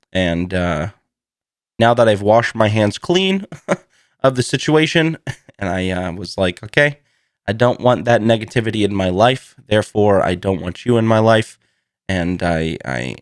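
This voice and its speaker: Low-voiced man, slightly hoarse, rambling podcaster. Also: no echo, clean studio sound. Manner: rambling, self-deprecating, laughs through his words. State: recovering from a cold, slightly hoarse. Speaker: podcast host. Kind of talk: casual solo podcast chat.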